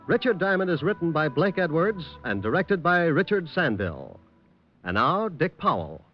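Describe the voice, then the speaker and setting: A man's voice: a little high, big pitch swings, moderate pace, faint music behind. A man working as a 1940s radio announcer, reading scripted credits and promos on a dull old recording.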